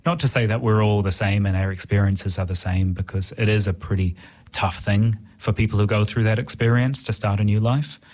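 A man's voice: fairly low pitch, fairly even intonation, fairly quick, hardly any pauses, a little mellow. A man with a New Zealand accent, an interviewed writer talking reflectively in a conversational style.

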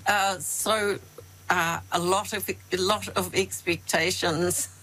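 A woman hosting a show, asking an author unhurried questions with light laughs. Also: lowish voice, fairly even intonation, a bit bright, poor audio. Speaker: show host. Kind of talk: interview questions.

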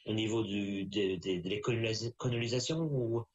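Low-voiced middle-aged man hosting. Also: fairly even intonation, moderate pace, highs cut off, slight background noise. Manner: repeats words, rambling, hesitant phrasing. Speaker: interviewer, show host. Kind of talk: casual, friendly interview.

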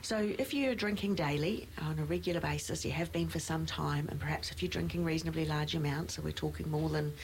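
A middle-aged woman co-hosts a podcast as a nurse, talking in a low, gravelly voice. She explains things plainly and laughs easily. A light hiss sits under the recording. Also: fairly quick, few pauses. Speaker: podcast co-host, registered nurse. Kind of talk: casual podcast chat.

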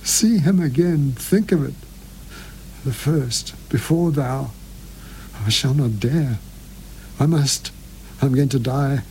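A man's voice: medium pitch, takes it slowly, long pauses, darkish tone, a little hiss or hum, rough recording. A man reading aloud as a frightened, dying elderly woman who confesses in broken, pleading phrases. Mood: fearful pleading.

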